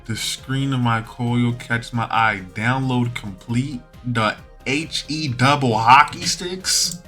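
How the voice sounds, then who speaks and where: A man reading a visual novel aloud, acting out dialogue in an animated way and adding asides. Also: medium pitch, slow. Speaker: gamer playing a visual novel. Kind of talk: dramatic read-aloud of game text with asides. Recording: music behind the voice.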